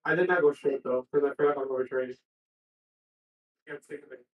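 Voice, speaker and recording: A man's voice: medium pitch, steady tone, fairly quick, roomy sound, distant mic, lo-fi sound. A man giving casual market commentary on a trading stream, dropping dry sarcastic remarks.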